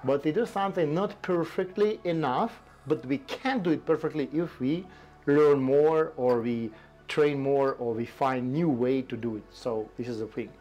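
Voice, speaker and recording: A man in his forties with a Russian accent, speaking English as a second language in a halting, casual conversation on an outdoor balcony. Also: mid-range voice, a little mellow, soft background music. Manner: halting, restarts often, non-native grammar. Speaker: tea company host. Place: covered balcony outdoors, forest behind.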